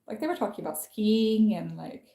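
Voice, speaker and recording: A woman's voice: medium pitch, moderate pace, gravelly, dark tone, roomy sound. A young woman from France chatting on her own livestream, rambling with lots of fillers and restarts. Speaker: channel host. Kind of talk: casual livestream chat.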